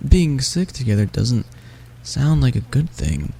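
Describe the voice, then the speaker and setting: A man voicing a sick, frightened young male catboy in an audio roleplay. The catboy talks softly, stammers and pleads. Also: mid-range voice, big pitch swings, measured pace, gravelly, slightly rough, exaggerated voice. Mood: worried.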